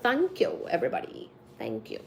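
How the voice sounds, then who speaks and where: A woman in her thirties from India, chatting casually with her viewers on a livestream, giggly and quick to get excited reading the chat. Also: lowish voice, gravelly. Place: home room with cat shelves.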